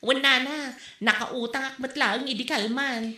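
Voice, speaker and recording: Woman acting a high, bright, pushy market vendor. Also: fairly even intonation, unhurried. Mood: worried, hopeful. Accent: Ilocano, northern Philippines. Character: pushy, exasperated female market vendor in debt. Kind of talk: comedy audio drama acting. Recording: echoey room.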